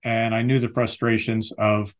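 An American man, a lexicographer and author, giving thoughtful, measured interview answers with frequent restarts. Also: lowish voice, flat delivery. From United States.

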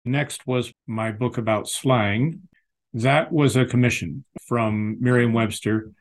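An American man, a lexicographer and author, giving thoughtful, measured interview answers with frequent restarts. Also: medium pitch, steady tone. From United States.

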